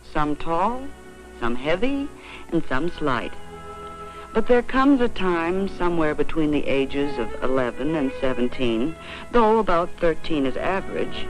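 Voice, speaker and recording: An American woman narrating an educational film in a measured, explanatory, carefully phrased way, on a vintage 1940s soundtrack. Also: deep voice, low-quality recording. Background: faint music.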